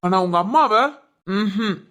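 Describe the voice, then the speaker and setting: A woman's voice: medium pitch, expressive, a little slow, a bit bright. A woman playing a bitter, angry middle-aged father in a family audio drama, scolding and accusing.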